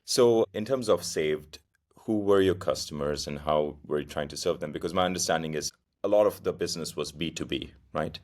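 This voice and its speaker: Deep-voiced young male podcast host. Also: a little mellow. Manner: measured, question-led interviewer. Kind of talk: interview hosting.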